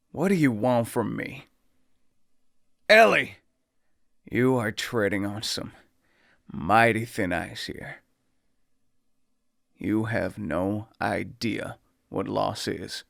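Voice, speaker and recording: A man playing a stern, emotional older father figure with a gruff, serious delivery, in video game drama style. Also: medium pitch, a bit bright, dry recording, studio quality. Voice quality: gruff, gravelly.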